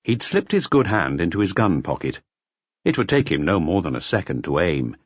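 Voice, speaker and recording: Male narrator, deep-leaning voice, animated intonation, mystery audiobook, dry close recording. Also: normal speed, slightly rough, no echo, dull audio.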